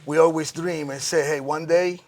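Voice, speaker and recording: A man from Rico, a wrestling guest answering interview questions haltingly, with many restarts. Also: fairly high pitch, fairly even intonation, a little mellow. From Puerto Rico.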